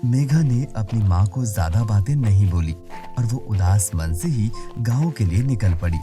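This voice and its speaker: A woman's voice: extremely low pitch, animated, unhurried, over music. A woman narrating a scripted children's moral story as the storyteller.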